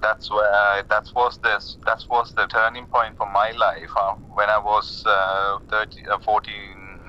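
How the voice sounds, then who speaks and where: A man in his forties with a Sri Lankan accent, talking casually and haltingly over a muffled, rumbling phone call. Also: little pitch movement, a little hiss or hum. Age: 40s. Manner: hesitant, halting, repeats words. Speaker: caller, lay Buddhist practitioner. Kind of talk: casual conversation about personal spiritual path.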